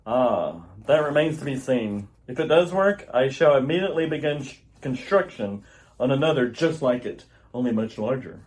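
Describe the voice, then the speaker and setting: A man's voice: mid-range voice, animated, normal speed, darkish tone, lightly acted. A man playing an earnest, urgent alien scientist, husband and father, with grave, theatrical delivery in old-style radio theater. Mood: tender, earnest. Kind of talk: retro radio theater acting.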